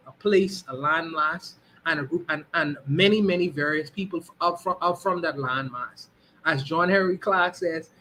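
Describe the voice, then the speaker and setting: A man with a slightly high, bright voice speaks his mind in a relaxed chat. He trips over his words, restarts his sentences and keeps checking in with "you know what I mean". His tone is forceful and clear. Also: a little hiss or hum, rough recording. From Bahamas. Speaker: guest. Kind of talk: casual opinion talk.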